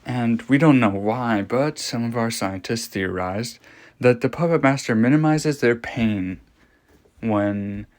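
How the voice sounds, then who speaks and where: Guy doing a creepy fascinated scientist on a horror log, medium voice, lecturing with dramatic pauses. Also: medium pitch, a little mellow, natural voice. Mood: fascinated, ominous. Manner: measured lecturing with dramatic pauses and rhetorical questions. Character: male scientist, morbidly fascinated by monstrous anomaly. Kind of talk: fictional horror audio log, explanatory monologue.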